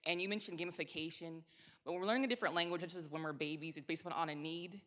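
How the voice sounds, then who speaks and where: Fast-talking audience member, distant in a hall. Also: medium pitch, steady tone, fairly bright tone. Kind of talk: audience question.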